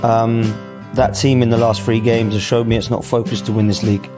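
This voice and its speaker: A man with a mid-range voice vents bluntly and in exasperation about his team, breaking into bursts of disbelief. Loud music plays behind him. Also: mid-range voice, flat delivery, a little fast. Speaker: football fan reacting. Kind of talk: fan reaction to a live match.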